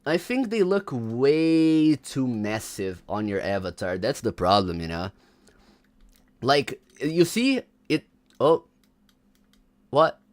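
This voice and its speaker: Man, young adult, livestream host, animated, very lively pitch. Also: takes it slowly, long pauses. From Brazil. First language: Portuguese. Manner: animated, slangy, chats with viewers. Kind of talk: casual livestream commentary.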